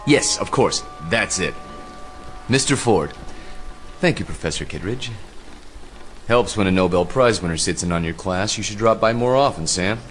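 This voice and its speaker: An actor in a radio play playing a captivating history professor who lectures with measured, theatrical intensity. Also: male voice, deep voice, animated, a bit bright, slight background noise. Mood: genial, teacherly.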